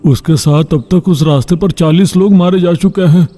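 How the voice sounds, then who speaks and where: A man's voice: on the high side, moderate pace, dark tone, pitched above the performer's own voice, lightly acted. A man voicing an obedient male servant in a cartoon, reporting deferentially to his master. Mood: grave, deferential.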